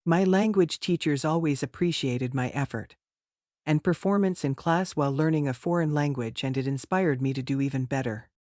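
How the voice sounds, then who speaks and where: A man's voice: a little high, darkish tone, no room sound, studio quality. A man answering mock speaking-test questions in a formal, rehearsed way. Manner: formal, rehearsed, measured delivery. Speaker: test candidate.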